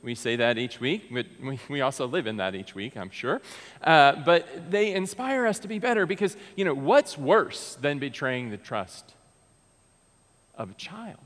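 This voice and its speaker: A man's voice: medium pitch, expressive, normal speed, fairly bright tone. An American man in his thirties, preaching from a pulpit in a large church sanctuary in an earnest, conversational way with frequent restarts. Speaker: pastor. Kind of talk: sermon. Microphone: pulpit mic, far camera. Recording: long church reverb.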